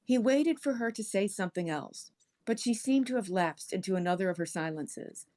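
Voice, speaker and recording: A woman narrating a horror story aloud in an expressive read-aloud style. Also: mid-range voice, moderate pace. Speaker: story reader on a stream.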